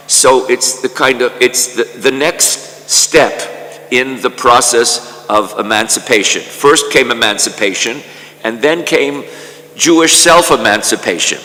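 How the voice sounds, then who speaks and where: An older man lectures at a conference in a slow, deliberate way, circling back with repeated words before finishing each point. His voice has a bright, forceful edge. The sound carries a long reverb from the conference hall. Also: medium pitch. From Canada. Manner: slow and measured, often repeating words as he restarts. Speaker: conference speaker. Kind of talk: academic lecture.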